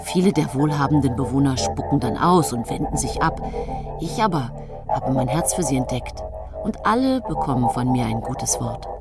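A woman's voice: a bass voice, expressive, normal speed, vocal fry, loud music behind the voice, exaggerated voice. An actress playing an outwardly pious, secretly cruel young bourgeois wife in a German radio play, telling her story with genteel self-satisfaction. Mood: self-righteous.

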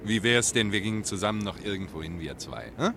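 An actor playing a flirtatious, self-important stage player in a German radio play, teasing and grandiloquent. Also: male voice, on the low side, lively intonation, fast, creaky, background noise.

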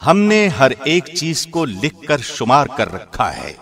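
A man reading a scripture translation in a formal, measured radio-host style. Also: mid-range voice, huge pitch swings, vocal fry, fairly bright tone.

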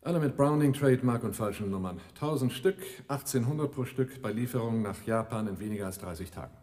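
An actor playing a sly, self-assured arms dealer in a German radio drama, with a suave, dryly ironic manner. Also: male voice, mid-range voice, fairly quick, a little mellow, lightly acted. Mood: smooth salesmanship.

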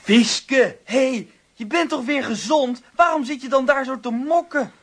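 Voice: high-pitched, measured pace. An actor voicing a cheerful young boy in a children's radio drama, upbeat and encouraging. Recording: music under the voice.

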